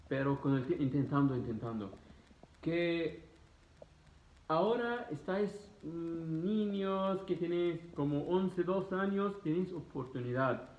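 A man in his thirties with an Egyptian accent, a native Arabic speaker, talking casually to camera in emphatic, halting, repetitive phrases. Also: fairly high pitch. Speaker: vlogger answering students' questions. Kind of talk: casual explanatory talk to camera. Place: living room, indoors. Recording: roomy echo, dull muffled sound.

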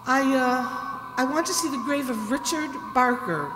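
Older lady playing scared wife in old radio mystery, high voice, dramatic, big echoey hall. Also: lively intonation, background noise. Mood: urgent. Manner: halting, anxious, dramatic. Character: frightened, distraught wife narrating a horror tale. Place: stage in a hall, live reading. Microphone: stand microphone. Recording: echoey hall stage performance, music behind.

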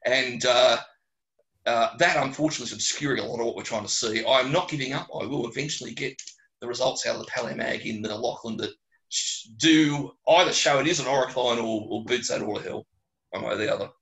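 An Australian man, a geologist answering questions informally on a webinar, speaking bluntly and colloquially with animated digressions. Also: medium pitch, brisk, rough recording. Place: small closed room at home or office, on a video call.

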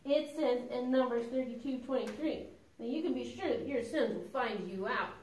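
A woman's voice: fairly high pitch, moderate pace, a little mellow, a little hiss or hum, natural voice. A woman voicing a sensible young girl puppet in a children's church show, earnest, hesitant and a little dramatic. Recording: echoey room, distant mic.

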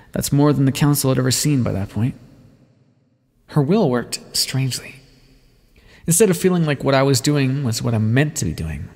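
A man acts out a sardonic male vampire for an audio roleplay. He is bitter, wordy and self-mocking, with a theatrical swing in pitch. A gravelly fry roughens his words, and a long reverb trails behind them. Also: a little mellow, heavily acted. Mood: bitter, brooding. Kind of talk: ASMR roleplay monologue, dramatic storytelling.

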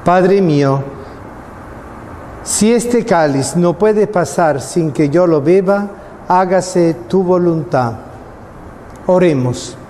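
A middle-aged man, a priest, slowly and solemnly reciting the prayers that close a Mass in a small chapel. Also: high voice, animated, mellow, big-room sound, a little hiss or hum. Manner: slow, solemn liturgical recitation.

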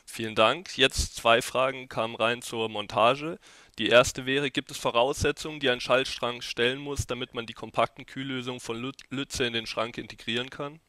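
A man's voice: medium pitch, fairly even intonation, normal speed, pushed and bright, no room sound. A young man moderating a live Q&A over a headset, politely reading out audience questions. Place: indoors at a desk.

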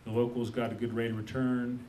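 A man talking on a panel in a conference room, his speech halting and full of fillers. His voice comes from a distance on the room mic, over a faint low rumble. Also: flat delivery, warm tone. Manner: halting, many uh fillers and restarts. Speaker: panelist, business owner. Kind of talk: unscripted panel talk.

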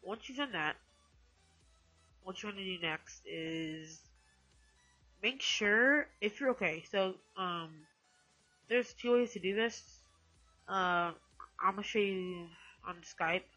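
Bright-voiced woman giving a hesitant tutorial. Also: mid-range voice, takes it slowly, pauses often, faint music behind. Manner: hesitant, rambling, self-correcting. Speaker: tutorial host. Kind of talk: casual screen-recorded software tutorial.

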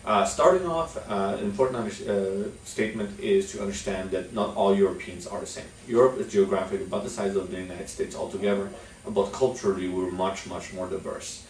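A young man from Poland, giving a measured instructional talk to camera as a business executive, with many "uh" fillers. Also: low voice, steady tone. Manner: frequent "uh" fillers, measured delivery. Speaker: chamber of commerce executive. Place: office with logo backdrop. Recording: echoey room, mic at a distance.

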